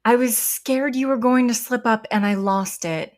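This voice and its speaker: A woman's voice: fairly high pitch, normal speed, lightly acted. A woman playing a protective, loving but stern mother in a naturalistic audio drama. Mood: tender.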